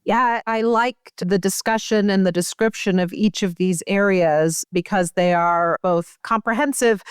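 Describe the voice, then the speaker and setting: A woman's voice: lowish voice, clean tone, no room sound, studio quality. A woman hosting a professional interview, speaking in thoughtful, considered phrases. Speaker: interviewer, journal editor host.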